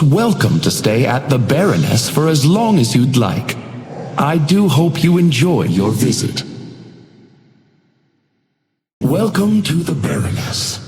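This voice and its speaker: A suave, otherworldly villain of a video game, plays the courteous doorman with theatrical menace. His mocking lines swoop widely in pitch through a cavernous reverb, with music behind. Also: a little mellow. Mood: silky welcome. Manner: silky formal politeness laced with cruel taunting.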